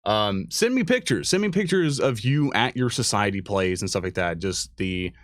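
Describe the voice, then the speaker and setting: A man's voice: lowish voice, very animated, normal speed, no room sound, studio quality. A man hosting a livestream in a casual, enthusiastic, slangy and rambling chat style. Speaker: livestream host.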